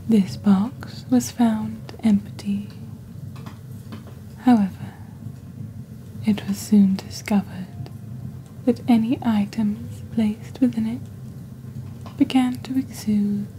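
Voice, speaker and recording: A young woman playing a composed witch who presents a shopping channel in soft, breathy, half-whispered ASMR. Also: fairly high pitch, fairly even intonation, a little hiss or hum, poor audio. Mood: composed, mysterious. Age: young adult. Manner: slow, deliberate, measured. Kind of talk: ASMR roleplay, scripted product presentation.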